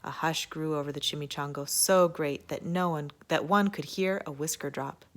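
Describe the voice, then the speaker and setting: A woman reading a children's storybook aloud with expressive narration. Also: sits low, normal speed. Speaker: story reader at an elementary school.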